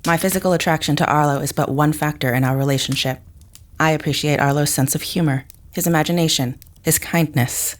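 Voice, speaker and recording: A woman plays a psychic mother with a low voice. She is rational and emotionally restrained, choosing formal, precise words in a measured manner, as in a science fiction audio drama. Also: steady tone, natural voice. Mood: reserved, explaining.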